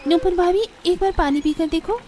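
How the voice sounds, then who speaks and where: A woman's voice: very high-pitched, steady tone, a little fast, dark tone, a little hiss or hum, pitched above the performer's own voice. A woman voicing a resourceful, cheery young village daughter-in-law in a cartoon story, eager to explain.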